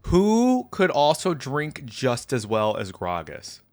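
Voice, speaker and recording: A young adult man hosts a reaction video in a casual, musing style. His pitch is average and the sound is dry, with little room in it. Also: huge pitch swings, slow, dry recording. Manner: musing, tentative asides to viewers.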